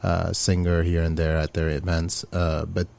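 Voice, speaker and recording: A man with a very low voice talks through an interview. He is a middle-aged musician from Syria, earnest and loose, with many ums and you-knows. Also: monotone, normal speed, gravelly, a little mellow. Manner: earnest, speaks with many fillers. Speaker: musician guest. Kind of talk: casual interview chat.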